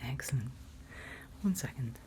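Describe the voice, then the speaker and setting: A woman whispering gently in an ASMR roleplay, guiding and reassuring the listener in an unhurried manner. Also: extremely low pitch, lively intonation, slight background noise. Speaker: ASMR roleplay performer playing a night watch guide.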